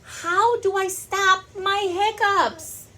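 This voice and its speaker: Woman voicing a high, pleading little kitten. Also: fairly even intonation, slow, pushed and bright, slight background noise, exaggerated voice. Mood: puzzled, pleading. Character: curious little cartoon kitten seeking help. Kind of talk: children's storybook character reading.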